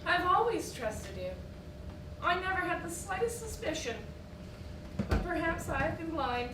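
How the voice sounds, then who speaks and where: An actress on stage playing a trusting but doubting, plaintive young wife. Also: female voice, very high-pitched, sing-song, measured pace, heavily acted. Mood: wounded. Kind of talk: stage acting in a one-act play. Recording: echoey, noisy stage recording from far off.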